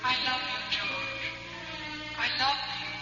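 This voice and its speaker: An actress playing a seductive, impatient mistress as a haunting remembered voice in a 1970s radio mystery, amorous and insistent. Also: female voice, high-pitched, steady tone, slow pace. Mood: coaxing. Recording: cavernous echo, dull old radio, loud music.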